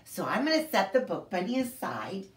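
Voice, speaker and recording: A middle-aged woman reading a picture book aloud to young children in an expressive, sing-song, deliberate storyteller manner. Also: mid-range voice. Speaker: children's library story time host. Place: small home room, indoors. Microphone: camera a few feet away. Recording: roomy echo, distant camera microphone.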